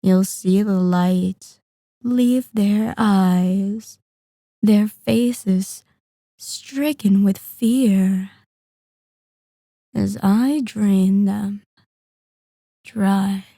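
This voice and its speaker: A woman voicing a centuries-old, sadistic and possessive vampire in an intimate ASMR roleplay, speaking in a deliberate, taunting, commanding manner. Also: medium pitch, fairly even intonation, warm tone, dry recording, studio quality. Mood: cold threat. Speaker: audio roleplay performer.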